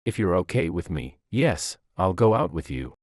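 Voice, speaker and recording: A man's voice: sits low, sing-song, normal speed, darkish tone, dry recording, clean studio sound. A man voicing a shy, grateful teenage schoolgirl in a narrated story. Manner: nervous, friendly.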